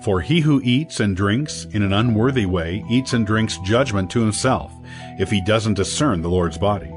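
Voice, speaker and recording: A man narrates scripture in a measured, declarative way. His voice sits a little low and moves with a lot of melodic variation. Soft music plays underneath. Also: lively intonation. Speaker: audio Bible narrator. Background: background music.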